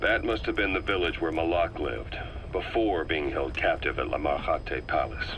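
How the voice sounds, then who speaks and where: A stern military intelligence officer for a game drama. His voice is very deep, and he speaks in terse, probing, matter-of-fact phrases. Clear background noise sits behind him. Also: normal speed, fairly bright tone, lo-fi sound. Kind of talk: dramatic game voice acting, interrogating dialogue.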